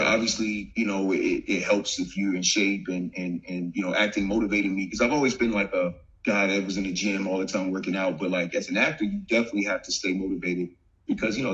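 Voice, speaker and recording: Laid-back low-voiced man with softened Southern accent. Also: fairly quick, dull audio. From Virginia. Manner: relaxed, laughs often, many fillers and restarts. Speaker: actor and former football player, guest. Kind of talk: casual interview chat. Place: home, remote video call. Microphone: video call.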